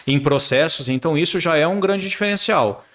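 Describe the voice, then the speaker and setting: A middle-aged man sits in as a guest on a casual business podcast, his voice sitting a little high for a man. He pushes through his points quickly and often stalls on repeated words before going on. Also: a bit bright. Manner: repeats words while searching, fast business talk.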